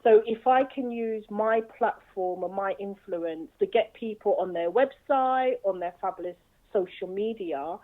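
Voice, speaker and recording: A woman answering interview questions in an earnest, measured way, speaking from her own experience as an advocate. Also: mid-range voice. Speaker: guest, bipolar advocate. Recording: tinny phone-line sound.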